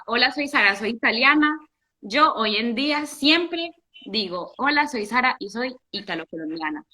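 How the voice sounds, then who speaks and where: Bright-voiced young woman telling her story. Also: mid-range voice. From Italy. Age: young adult. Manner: animated storytelling with frequent restarts. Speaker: guest. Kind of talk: casual interview.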